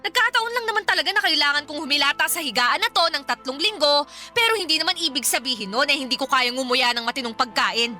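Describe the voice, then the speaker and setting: A woman's voice: high voice, animated, quick pace, bright, forceful tone, full character voice. A woman playing a sulky, whiny, argumentative young Filipino girlfriend in a radio drama. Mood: irritated, whiny. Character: sulky, ungrateful young pregnant girlfriend, snappy and complaining. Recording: music bed under the voice.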